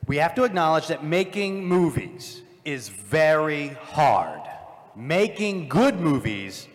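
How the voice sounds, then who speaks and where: A man's voice: fairly high pitch, big pitch swings, slow, big-room sound. A man with a cold, co-hosting a live convention panel and cracking dry jokes. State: has a cold. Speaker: podcast co-host.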